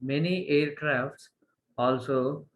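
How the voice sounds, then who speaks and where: A man with an Indian accent giving a college lecture, halting as he goes and repeating phrases for emphasis. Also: on the high side, low-quality recording. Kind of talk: slide lecture, reading and explaining.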